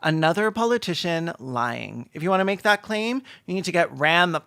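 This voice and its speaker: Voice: mid-range voice, lively intonation, normal speed, a bit bright, clean tone, no room sound, clean studio sound. A sarcastic host giving mocking, theatrical comedic commentary in a reaction show.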